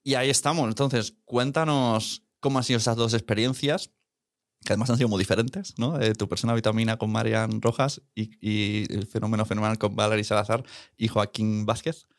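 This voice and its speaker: A man's voice: on the low side, steady tone, a little fast, no echo, clean studio sound. A man hosting a podcast from Catalonia, chatting casually with his guest and teasing her with jokes and laughter. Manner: jokey, teasing, laughs often. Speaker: podcast host. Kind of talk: casual interview chat. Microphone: Rode studio microphone.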